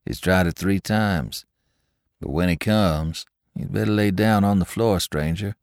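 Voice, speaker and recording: Man putting on a frontier barkeeper, storybook, deep, matter-of-fact. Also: unhurried, dry recording, clean studio sound.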